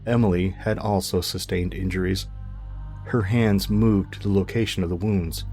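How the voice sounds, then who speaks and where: A man narrating a scripted fiction podcast in a measured, grave, dramatic storytelling manner. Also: deep voice, fairly even intonation, a little mellow, soft background music.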